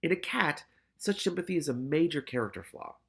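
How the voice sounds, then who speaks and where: A man's voice: fairly high pitch, animated, fairly quick, vocal fry, a bit bright, husky. A man narrating a story expressively as a reader and adding his own candid commentary.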